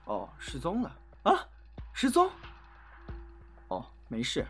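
A grumpy, scolding fantasy character, acted by a woman, curt and bossy. The pitch swings widely as orders are snapped out. Music plays faintly behind. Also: huge pitch swings, takes it slowly, fairly bright tone, heavily acted. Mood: dismissive, firm. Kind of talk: fantasy audio drama acting.

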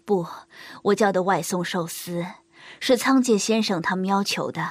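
An actress playing a polite, apologetic, helpful hostess in an audio drama. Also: female voice, medium pitch, moderate pace, slightly rough, no echo, close to an everyday voice.

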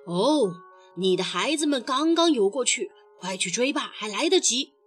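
A woman voicing a brisk, helpful crab in a children's story. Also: fairly high pitch, animated, soft background music, voice pushed down, lightly acted. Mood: helpful, urging. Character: helpful crab who urges others on.

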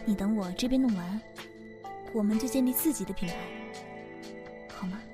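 A woman acting a gentle, placating young businessman in a Chinese radio drama. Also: mid-range voice, normal speed, over music, lightly acted. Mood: soothing.

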